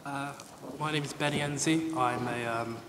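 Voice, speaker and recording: A 21-year-old man, a law student on a panel, talking in a relaxed, casual way. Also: mid-range voice, moderate pace. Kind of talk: live panel discussion. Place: conference room. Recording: echoey hall with clear noise.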